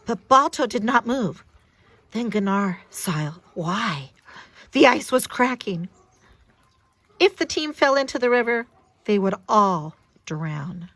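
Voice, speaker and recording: A woman reading a story aloud to young children, with expressive, dramatic and emphatic delivery. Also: medium pitch, takes it slowly, dry recording.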